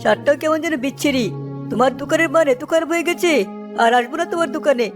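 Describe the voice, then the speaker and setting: Lady doing a fussy cartoon customer guy at a food stall, high pitched, music under it. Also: rapid-fire, lightly acted. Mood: disgusted. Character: disgusted, indignant male customer at a food stall. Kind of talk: cartoon story voice acting.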